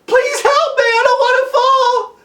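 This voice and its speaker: A storyteller doing a shrieking, terrified boy, very high-pitched. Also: level tone, unhurried, pushed and bright, far from the mic, exaggerated voice. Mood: panicked. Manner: wailing, desperate pleading. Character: terrified boy dangling upside down, pleading hysterically. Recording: echoey room, off the microphone.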